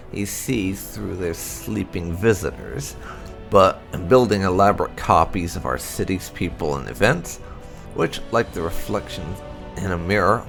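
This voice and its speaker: A man reading game lore aloud in a measured, formal narration style. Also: sits low, creaky, a bit bright. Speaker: gaming channel host reading lore entries. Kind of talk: read-aloud narration of fantasy game codex.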